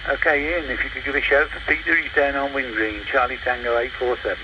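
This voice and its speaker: Through very loud static, a man with a mid-pitched voice runs a CB radio net. He sounds far off, talking in casual radio chat as he calls stations. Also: steady tone, quick pace, muffled recording. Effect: heard over CB radio. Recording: very noisy, distant CB radio reception.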